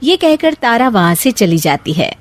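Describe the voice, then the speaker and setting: Lively high-voiced woman narrator. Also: normal speed, dry recording. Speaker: story narrator. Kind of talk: scripted storybook narration.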